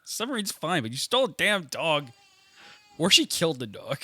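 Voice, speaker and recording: Podcast co-host, male, stuffy head cold, animated slightly high delivery, dry close sound. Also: normal speed, breathy edge, no room sound. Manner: joking, laughs often. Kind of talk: casual chat.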